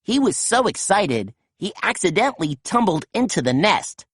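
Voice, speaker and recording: Husky-toned woman reading a picture book. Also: medium pitch, moderate pace, no echo, clean studio sound. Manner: gentle, expressive storytelling. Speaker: storytime reader. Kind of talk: children's book read-aloud.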